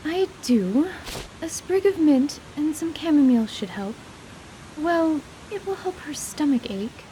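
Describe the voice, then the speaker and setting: A woman plays a young female herbalist in an adventure radio drama, polite and practical. She speaks in a high, careful voice with dry understatement, over a faint hiss. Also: expressive, a little slow, warm tone, low-quality recording. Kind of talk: dramatized tabletop radio play.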